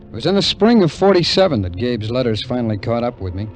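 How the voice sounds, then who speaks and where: A man's voice: mid-range voice, very animated, fast, close to an everyday voice. An actor playing a wary, cynical American ex-soldier who tells his story in slangy, wry 1950s radio drama narration. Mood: hard-boiled recounting. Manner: slangy, hard-boiled, wry. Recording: vintage radio recording with music.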